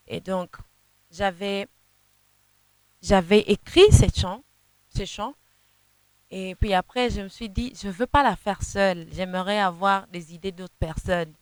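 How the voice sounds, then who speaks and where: A young woman, a gospel singer whose first language is Kinande, answering interview questions in a halting way, often repeating words as she searches for them. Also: mid-range voice, clear, resonant tone, no room sound, rough recording. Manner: sings softly in places.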